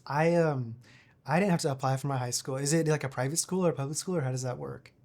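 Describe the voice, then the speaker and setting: A man's voice: mid-range voice, fast, darkish tone. A young man hosting a podcast, reading an upbeat introduction and asking questions in an effusive way. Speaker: podcast host. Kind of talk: scripted intro and interview questions. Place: studio in an office.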